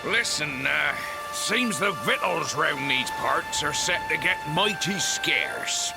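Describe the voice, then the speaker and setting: A man playing a hearty, kindly old fisherman in a video game cutscene, with gravelly, folksy seafarer talk. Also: a little high, very animated, slow, exaggerated voice, low-quality recording. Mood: regretful, kindly. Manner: folksy seafarer talk, refers to himself by name. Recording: game music under the voice.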